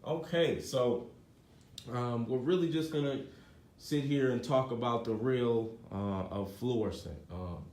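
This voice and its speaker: A man's voice: medium pitch, a little slow, a little mellow. A man hosting a community event in a casual, slangy, joking way. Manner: informal, slangy, joking with the room. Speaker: host, community news presenter. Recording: echoey room, distant mic, faint noise.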